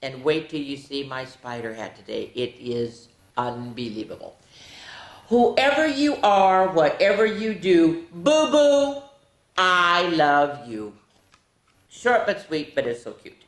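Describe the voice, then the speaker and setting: Very animated, echoey woman reading to children. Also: sits low. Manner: playful, theatrical, drawn-out words on story lines. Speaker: children's storytime host. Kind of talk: animated picture-book read-aloud with casual asides. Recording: echoey, roomy sound.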